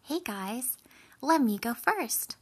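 A woman's voice: on the high side, animated, slow pace, breathy edge, voice pushed up, heavily acted. A woman voicing the youngest, smallest goat in a storybook, chatty, polite and clever, with a friendly, emphatic manner. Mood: cheerful, friendly.

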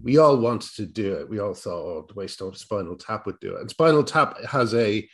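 A man's voice: lowish voice, expressive, normal speed. A middle-aged Irish man, a comedy writer, chatting casually as an interview guest, rambling with restarts and wry self-deprecating asides.

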